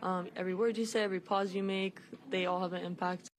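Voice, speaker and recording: A woman's voice: fairly low pitch, little pitch movement, fast. A woman in her twenties, a student, speaking casually in a news interview. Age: 20s. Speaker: college student. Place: college training lab, indoors. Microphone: handheld interview mic.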